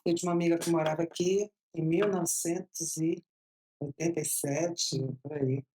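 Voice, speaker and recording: A woman's voice: on the low side, fairly even intonation, warm tone, slight background noise. A woman in her fifties from Bahia, Brazil, with a Bahian accent, telling her story as an interview guest, chatty and laughing often. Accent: Bahian Brazilian Portuguese. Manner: chatty, laughs often, drawn-out slow phrases.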